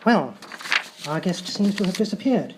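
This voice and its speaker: A man's voice: high-pitched, big pitch swings, normal speed. A man playing a polite, bemused talk-show host in a live comedy sketch, hesitant and formal. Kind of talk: live radio-style sketch comedy acting. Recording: live stage, faint noise.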